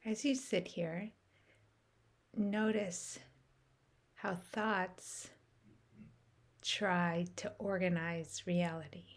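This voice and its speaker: A woman leading a guided meditation in a soft, soothing way, with measured phrasing and long pauses. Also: lowish voice, steady tone.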